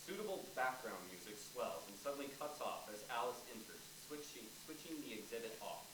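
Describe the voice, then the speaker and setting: A man's voice: medium pitch, animated, brisk. A man reading stage directions aloud as scripted narration for a play. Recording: echoey noisy room, far from mic.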